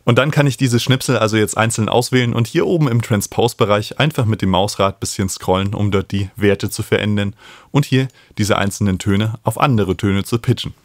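A man's voice: lowish voice, a little fast, no echo. A man in his thirties explaining step by step as a tutor, recorded close on a studio microphone. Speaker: host and music production tutor. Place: small home studio room, indoors. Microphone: studio microphone close on a stand.

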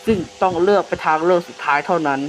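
A man's voice: high voice, close to an everyday voice. Man as a solemn emergency broadcast announcer, noisy recording. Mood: solemn, official. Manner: formal, measured official reading. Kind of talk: scripted analog horror announcement narration. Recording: clearly noisy hissing background.

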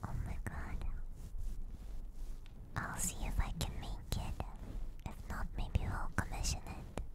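A woman host whispering softly and breathily into a close binaural microphone, chatting gently and casually with viewers in an ASMR style. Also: slow.

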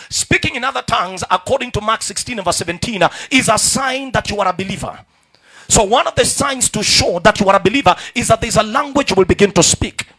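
High-voiced Kenyan preacher shouting. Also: male voice, breathy edge. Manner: preaching, rising to shouts, calls for amen. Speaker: pastor. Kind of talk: sermon. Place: large church hall indoors. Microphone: handheld microphone.

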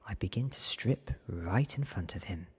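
A man in his thirties reading a story aloud in a hushed, deliberate ASMR whisper, close on the microphone. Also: sits low, lively intonation. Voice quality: breathy whisper. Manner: hushed, deliberate, short measured phrases. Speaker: narrator reading a novel aloud. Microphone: close studio microphone, seen.

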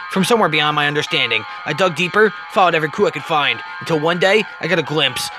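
Grave male explorer as acted by a man: confessional journal narration, slightly high male voice, fast-moving, comic-book drama. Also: close to an everyday voice, rough recording. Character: haunted male archaeologist reading his grave journal.

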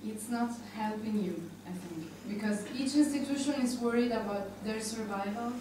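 A woman's voice: on the high side, moderate pace. A young woman from Argentina, speaking reflectively and tentatively in an informal discussion. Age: young adult. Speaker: panel participant. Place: indoor conference room. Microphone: handheld microphone. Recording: echoey room, distant, noisy.

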